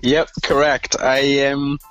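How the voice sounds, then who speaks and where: Chatty man with softened Welsh accent. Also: mid-range voice, flat delivery, slow, dry recording, poor audio. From Wales, Rhondda Valleys. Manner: chatty, rambling with restarts and light laughs. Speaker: podcast guest. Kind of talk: casual conversation.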